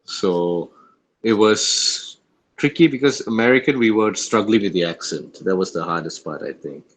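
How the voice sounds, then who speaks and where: A man's voice: on the low side, moderate pace, low-quality recording. A man answering interview questions as a guest, reflective and casual, repeating phrases for emphasis. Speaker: actor, podcast guest.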